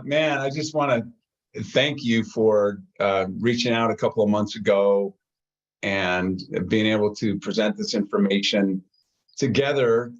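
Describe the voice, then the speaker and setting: A man giving casual, halting closing remarks as a guest in an online presentation. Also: mid-range voice, dull audio. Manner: casual, hesitant with fillers.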